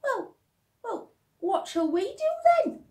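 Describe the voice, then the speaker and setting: A woman's voice: very high-pitched, big pitch swings, takes it slowly, a little mellow, reverberant, pitched above the performer's own voice, exaggerated voice. A woman voicing an eager young child who whines and pesters a mother with questions in a storybook reading. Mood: curious, pestering. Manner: whiny, insistent questioning.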